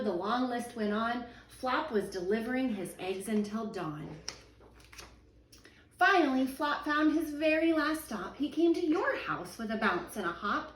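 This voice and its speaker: A woman, a teacher in her thirties, reading a children's picture book aloud at home in a sing-song, expressive way. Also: fairly high pitch, a little hiss or hum. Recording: echoey room, voice a little far from the mic.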